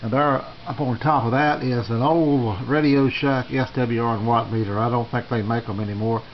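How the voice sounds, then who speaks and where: A man with a mid-pitched voice talks casually and without hurry, as a hobbyist showing visitors around. His delivery is halting and plain-spoken. The sound is dull and lo-fi, with faint noise underneath. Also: fairly even intonation. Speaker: amateur radio hobbyist giving a tour. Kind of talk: casual unscripted equipment tour. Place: small home radio shack, indoors.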